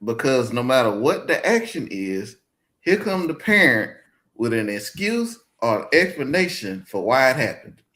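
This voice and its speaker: A man in his forties, a guest on a roundtable talk panel, speaking in a calm and observant way. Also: mid-range voice, a little slow. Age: 40s. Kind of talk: roundtable discussion.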